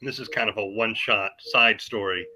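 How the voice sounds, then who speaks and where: A man's voice: lowish voice, steady tone, fairly quick, bright, forceful tone. A man giving casual interview answers as a podcast guest over a video call, easygoing and self-deprecating, with frequent fillers. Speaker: podcast guest, comic creator.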